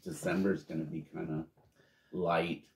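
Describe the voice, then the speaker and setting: A man's voice: deep voice, level tone, a little slow, vocal fry, roomy sound, a crowd in the background. A man co-hosting a casual chat, laid-back, mostly chiming in with short agreeing remarks. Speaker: co-host.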